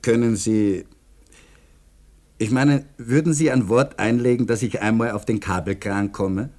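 An older actor playing an eager, proud ageing professor turned construction worker in a radio drama. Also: male voice, fairly low pitch, unhurried, darkish tone, lightly acted. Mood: earnest, hopeful.

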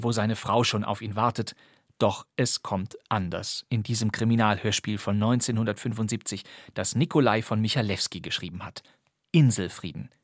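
A male podcast host with a husky voice, recorded close and dry. He narrates from a script with a playful, wry touch, as a presenter of vintage crime radio plays. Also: lowish voice, no echo.

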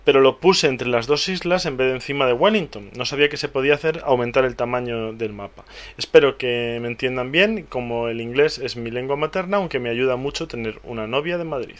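A man's voice: mid-range voice, brisk. A man co-hosting a casual tech podcast, reading listener mail in a loose, chatty way full of fillers and restarts. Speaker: podcast co-host.

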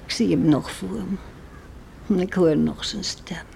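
Slow, tender farmer's wife. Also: female voice, on the low side, vocal fry, warm tone. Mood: hushed, troubled. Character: anxious tender farmer's wife and mother, pious. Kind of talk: radio play acting. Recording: old radio play with faint noise.

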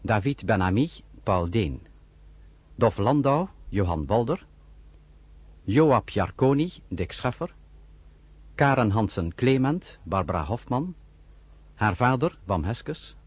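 A male announcer reads out the credits of a radio drama in a formal way. His voice is low and husky, with a lively pitch. The sound is close and dry. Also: takes it slowly, long pauses, dry recording. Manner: formal and even. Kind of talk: reading credits.

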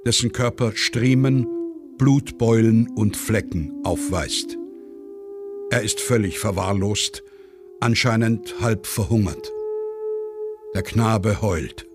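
A man's voice: lowish voice, slow pace, long pauses, music in the background. A man soberly reading stage directions in clipped phrases, as the narrator of a radio play.

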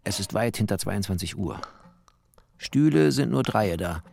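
A man's voice: lowish voice, animated, unhurried, vocal fry, darkish tone, a little hiss or hum. A man narrating expressively in the first person, literary in style, with a wry, theatrical manner.

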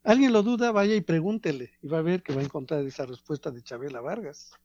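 A man giving a reflective radio talk on art, measured and professorial, pausing between phrases. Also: on the high side, expressive, darkish tone, no room sound, dull audio. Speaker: radio commentator.

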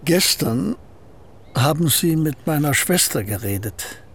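A reclusive aging painter, terse and guarded, radio play, faint background noise. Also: male voice, a little high, animated, unhurried, fairly bright tone. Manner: terse, clipped replies. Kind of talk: radio drama acting.

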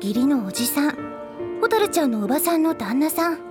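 A woman voicing a gentle teenage schoolgirl who recounts a childhood friend's story softly and earnestly. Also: high-pitched, big pitch swings, fairly quick, music in the background. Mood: worried. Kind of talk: anime drama CD acting.

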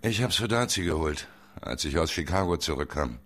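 Radio crime drama: guarded male suspect, deep voice, evasive under questioning. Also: expressive, moderate pace, fairly bright tone.

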